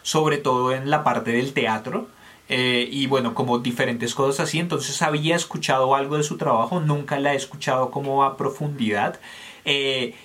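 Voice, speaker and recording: A man's voice: medium pitch, steady tone, moderate pace, fairly bright tone. Candid Colombian man reviewing music. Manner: candid, opinionated, thinking aloud with fillers. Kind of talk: casual music review chat.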